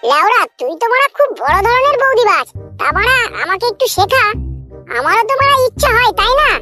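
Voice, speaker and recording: A man voicing a crude young male sidekick in a comic cartoon, wheedling and excitable, with a pitched-up voice. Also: fast. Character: whiny, pleading, foul-mouthed young male sidekick friend. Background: loud jingly children's music.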